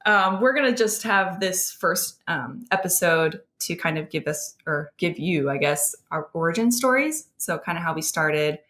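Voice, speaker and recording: A woman's voice: lowish voice, expressive, normal speed, hardly any pauses, a bit bright. An American woman co-hosting a podcast in casual, conversational talk. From southern New Jersey, United States. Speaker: podcast co-host. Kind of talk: casual chat.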